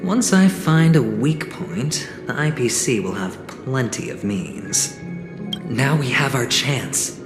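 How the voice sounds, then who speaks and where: A man voicing a smooth, cocky young schemer in a video game, theatrical and insinuating, talking like a teasing negotiator. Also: mid-range voice, animated, slow pace. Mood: scheming, animated. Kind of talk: dramatic video game voice acting. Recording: echoey reverb, music underneath. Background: game music.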